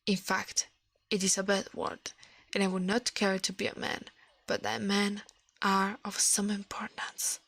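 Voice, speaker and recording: A woman's voice: medium pitch, flat delivery, measured pace, vocal fry, fairly bright tone, dry recording. A woman giving an ASMR reading of a storybook, stumbling now and then and correcting herself. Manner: reads with stumbles and self-corrections. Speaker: ASMR streamer.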